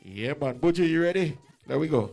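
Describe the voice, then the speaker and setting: A man's voice: a little high, lively intonation, a little fast, creaky. A man hosting a radio show, hyping up the audience with repeated phrases and greetings. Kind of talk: hype show intro patter. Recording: music playing behind the voice. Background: music.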